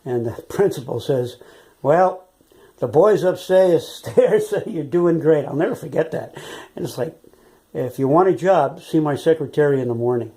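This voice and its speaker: A man in his eighties from San Francisco, a retired biology teacher recalling his life in an unhurried, rambling interview, with many "uh"s and "you know"s. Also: a little high, animated, mellow. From San Francisco, California. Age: 80s. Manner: rambling, with frequent "uh" and "you know", chuckles as he remembers. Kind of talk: unhurried oral history reminiscence.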